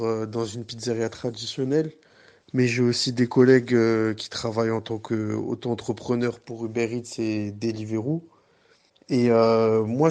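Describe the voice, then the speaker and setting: A delivery man speaks at an ordinary middle pitch. He recounts his work in a measured way, hesitating often with fillers. His voice is plain and everyday. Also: a little mellow. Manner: measured, many fillers and restarts. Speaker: pizza delivery driver. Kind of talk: personal testimony.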